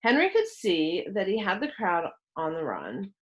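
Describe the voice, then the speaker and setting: Very expressive woman reading a storybook. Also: lowish voice. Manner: expressive, dramatic pauses. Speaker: storybook reader. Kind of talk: children's read-aloud narration.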